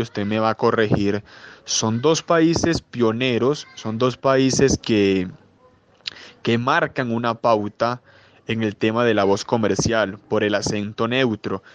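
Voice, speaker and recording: A man's voice: medium pitch, unhurried, dry recording. A man hosting a programme and interviewing a guest in a conversational style. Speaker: radio programme host, interviewer. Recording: dull, lo-fi audio.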